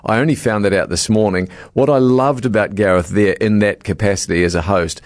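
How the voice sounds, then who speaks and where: A man's voice: on the low side, fairly quick, no echo, clean studio sound. A man with a New Zealand accent hosting a casual radio talk show in an effusive, chatty manner. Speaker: radio host.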